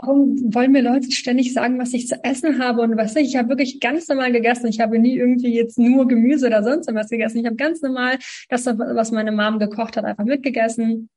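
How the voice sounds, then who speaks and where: A woman in her twenties from Ukraine, telling her story as a guest in a relaxed interview, talking with lots of fillers and small laughs. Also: on the high side, a little fast. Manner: chatty, many fillers, laughs between sentences. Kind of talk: casual interview talk.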